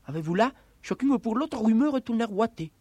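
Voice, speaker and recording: A man giving a dramatic literary recitation, theatrical in manner, swinging between hushed and emphatic delivery. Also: very high-pitched, expressive, fairly quick, slightly rough, no room sound. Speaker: reader of literary text.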